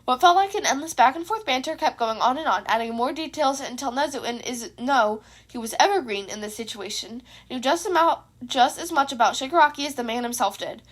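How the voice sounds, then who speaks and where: A woman's voice: high voice, expressive, fairly quick, few pauses. A woman reading a scripted piece of fan fiction aloud as a narrator. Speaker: podfic narrator.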